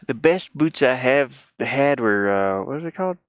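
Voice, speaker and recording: A man's voice: mid-range voice, animated, normal speed, clear, resonant tone, no room sound, clean studio sound. A man chatting casually on a livestream as he works, rambling and thinking aloud inside a van with a heater running. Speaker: game developer livestreamer. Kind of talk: casual livestream chat while working. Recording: background noise from diesel heater fan. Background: heater hum and clicking.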